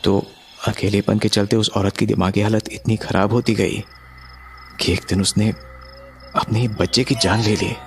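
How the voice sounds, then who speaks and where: A man telling a horror story in the first person, suspensefully, with drawn-out dramatic pauses. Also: lowish voice, level tone, a little hiss or hum. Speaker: narrator of a horror story, voicing its main character. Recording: music behind the voice. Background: music.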